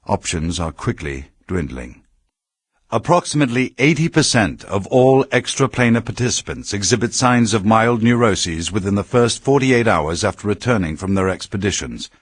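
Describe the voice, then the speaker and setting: An actor playing a middle-aged medical supervisor dictating grave, formal clinical reports for a video game. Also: male voice, fairly low pitch, expressive, normal speed, breathy edge, no room sound.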